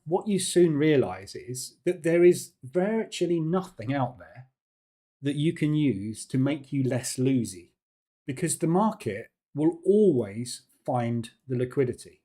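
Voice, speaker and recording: A man's voice: a little high, dark tone. A man hosting a live trading stream, talking casually and explaining things plainly, often checking in with "yeah.". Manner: chatty, explains plainly with "yeah" tag questions.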